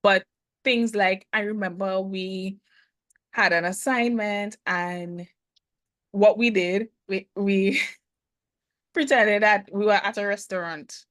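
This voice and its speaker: A woman's voice: medium pitch, fairly bright tone, dry recording. Woman guest, medium voice, thoughtful and hesitant, dry recording. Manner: thoughtful, hesitant, many "um" pauses. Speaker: business consultant, interview guest. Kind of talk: casual conversational interview.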